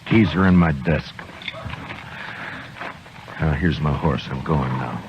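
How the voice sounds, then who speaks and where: A man's voice: rumbling low, slow, very noisy. An actor playing a stoic, weary lawman in a 1950s radio western, speaking and narrating in a terse, grave, restrained way. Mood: firm. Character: stoic, weary, stubborn male Old West marshal. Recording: dull old radio broadcast with music.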